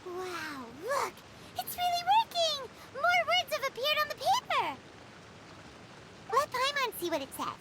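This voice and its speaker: A woman's voice: extremely high pitch, sing-song, measured pace, sharp tone, exaggerated voice. A woman voicing a tiny, eager, childlike fairy companion in a video game, full of exclamations. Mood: amazed. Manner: exclamatory, eager, speaks of self in third person.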